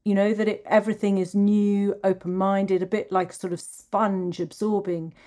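Encouraging woman interviewer, mid-pitched. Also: little pitch movement, normal speed, dark tone. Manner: encouraging, responsive. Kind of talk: casual conversational interviewing.

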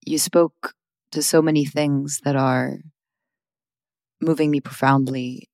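Woman host, reflective interviewer. Flat delivery, dry sound, long thoughtful sentences. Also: sits low, takes it slowly, dry recording. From Sweden or Switzerland. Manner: earnest, searching, long winding sentences.

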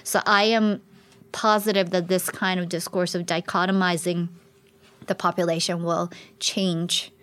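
A woman's voice: on the low side, flat delivery, measured pace, a bit bright. A woman researcher explaining her work in an interview, thoughtful and explanatory, often restarting her sentences. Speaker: researcher guest. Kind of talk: academic interview talk.

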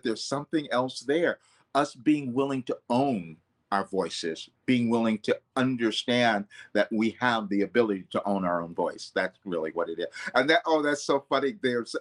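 A man's voice: mid-range voice, expressive, normal speed. A man, a vocal coach, talking earnestly in an interview, often correcting himself mid-sentence. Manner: earnest, loose, self-correcting. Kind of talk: reflective interview talk.

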